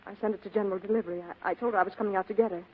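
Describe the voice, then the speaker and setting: Anxious, quick-talking young woman played by an actress, old radio. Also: mid-range voice, fairly even intonation, husky, lightly acted. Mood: distressed, pleading. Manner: breathlessly hesitant, earnest. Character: anxious, pleading young female client. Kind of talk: 1940s radio drama acting. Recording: tinny band-limited vintage radio broadcast.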